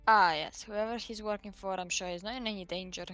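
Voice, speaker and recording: A woman's voice: medium pitch, steady tone, fairly quick, a bit bright, no room sound, faint music behind. A woman playing a tabletop game, speaking in character in a blunt, wry, probing way. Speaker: player.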